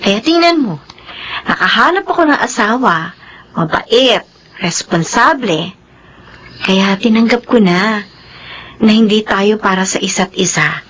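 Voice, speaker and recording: An actress with a Filipino accent playing a forgiving former lover and mother who speaks gently and reassuringly, in a radio drama. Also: medium pitch, lively intonation, measured pace, pauses often, breathy edge, rough recording.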